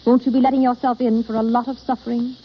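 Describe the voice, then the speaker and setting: An actress playing a frank, sympathetic young society woman with an animated, teasing manner in a 1930s radio drama. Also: a little high, quick pace, close to an everyday voice. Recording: scratchy, muffled old radio broadcast.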